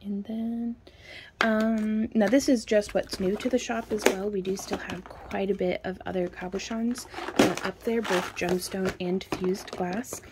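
Chatty woman with a gravelly voice. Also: mid-range voice, fairly even intonation, a little slow, background noise. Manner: chatty, rambling, laughs often. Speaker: craft shop owner. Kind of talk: casual product show-and-tell. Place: home craft workspace, indoors.

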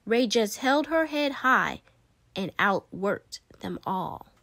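A woman's voice: mid-range voice, big pitch swings, slow pace, a bit bright, no echo. A woman reading a children's picture book aloud expressively, with dramatic emphasis and playful asides. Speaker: read-aloud storyteller.

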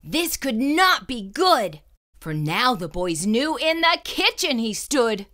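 Slow, bright, dramatic woman storyteller. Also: a little high, sing-song. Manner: suspenseful, theatrical, emphatic. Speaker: storyteller reading a children's book aloud. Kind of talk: dramatic read-aloud narration.

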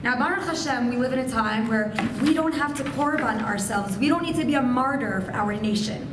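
A woman in her thirties whose first language is Farsi, giving an expressive, gesturing keynote speech from a podium microphone. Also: fairly high pitch, a little fast. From Persian family. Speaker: keynote speaker. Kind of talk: inspirational speech to women. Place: hotel function room, indoors. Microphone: podium microphone on a stand. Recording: echoey room with background noise.

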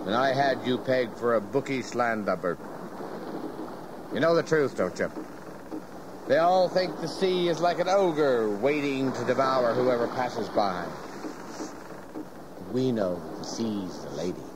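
An actor playing a jovial, garrulous, folksy ship captain in an audio history drama. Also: male voice, mid-range voice, lively intonation, measured pace, pauses often, close to an everyday voice. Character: hearty, cantankerous-sea-loving ship captain, folksy and fatherly. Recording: clear background noise of ship ambience.

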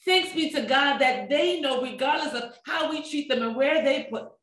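A woman's voice: high-pitched, normal speed. A middle-aged woman pastor preaching a sermon with rhythmic repetition, recorded in a small room. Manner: expressive preaching with wide hand gestures, rhythmic repetition. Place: small room with a table, indoors. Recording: echoey room.

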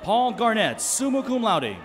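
Man, announcing graduates' names, high lively voice, faint cheering crowd behind. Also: a little slow. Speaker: commencement name reader. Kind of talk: reading graduates' names at a ceremony. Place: outdoor commencement stage.

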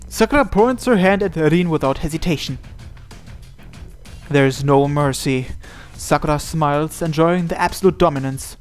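A man's voice: fairly high pitch, moderate pace, soft background music. A man narrating a visual novel aloud as host, reading the scripted text.

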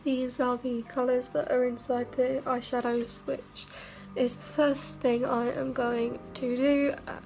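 A young woman, a beauty vlogger, chatting casually through a makeup test in her bedroom; she sounds croaky and stuffed up from a cold and rambles with self-mocking asides. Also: sits high, level tone, measured pace, hardly any pauses, a little mellow, rough recording. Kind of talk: casual makeup tutorial chat. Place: bedroom, indoors. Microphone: camera mic at arm's length.

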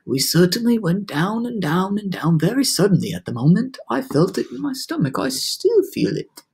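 A woman's voice: low-pitched, normal speed, slightly rough. A woman voicing a gloomy, fretful storybook earthworm who complains.

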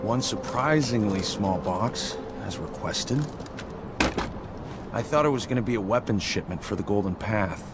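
A man's voice: lowish voice, expressive, a little slow, over loud music. An actor playing a plain-spoken, wary young male fighter in casual game cutscene dialogue. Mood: doubtful, flat.

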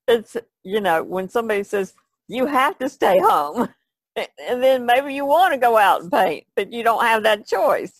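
A woman's voice: a little high, vocal fry, no echo. A woman chatting casually as a podcast panelist, with a folksy way of talking. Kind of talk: casual chat.

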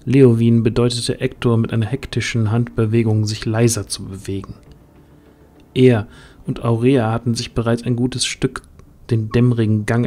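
A man's voice: mid-range voice, a little mellow. A man narrating a scripted fantasy audio drama as the storyteller.

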